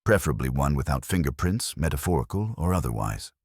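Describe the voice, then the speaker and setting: Man reading as brooding genius sleuth, very deep, husky, pompous, exacting, audiobook story. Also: very animated, brisk, a little mellow, no room sound, studio quality, full character voice. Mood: pedantic, exacting.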